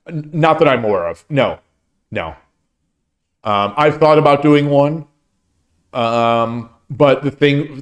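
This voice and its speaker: A man hosting a casual livestream, chatting at length and answering chat comments, with many restarts and fillers. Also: mid-range voice. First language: English. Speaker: streamer and host.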